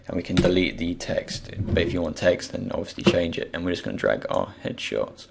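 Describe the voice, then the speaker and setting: A man with a croaky, just-woken voice gives an unscripted software tutorial, hesitantly thinking aloud as he goes. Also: low-pitched, expressive, fairly quick. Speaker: tutorial presenter. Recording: faint background noise, keyboard and mouse clicks.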